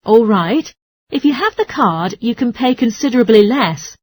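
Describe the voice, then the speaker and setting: A woman's voice: on the high side, animated, moderate pace, a little mellow, dry recording. A woman playing a polite, helpful transport information clerk who explains things in a scripted exercise dialogue. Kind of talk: scripted listening-test dialogue.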